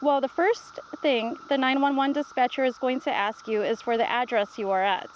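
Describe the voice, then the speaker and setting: A woman's voice: high voice, normal speed, slight background noise, close to an everyday voice, poor audio. A woman voicing a patient, reassuring grandmother who explains things simply in a children's storybook read-aloud. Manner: reassuring, instructive.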